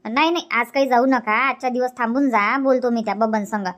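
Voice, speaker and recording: A woman's voice: fairly high pitch, a little fast, fairly bright tone, close to an everyday voice. A woman voicing a bossy, hospitable middle-aged village aunt in a cartoon comedy, with a Varhadi Marathi accent and an insistent, repetitive way of talking. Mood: firm, scolding. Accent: Varhadi Marathi from Vidarbha.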